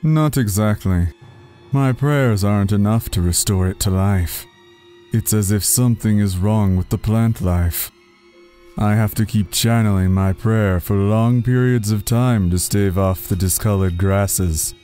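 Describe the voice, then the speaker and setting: A man playing a troubled, devout forest druid and gentle husband in a fantasy audio drama, speaking in a hesitant, brooding way. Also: on the low side, a little slow, soft background music, lightly acted. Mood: worried, subdued.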